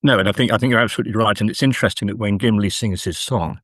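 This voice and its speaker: A man's voice: fairly low pitch, rapid-fire, husky, dry recording, studio quality. A man talking as an academic guest, considered and hesitant, in a scholarly conversation. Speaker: academic author, interview guest.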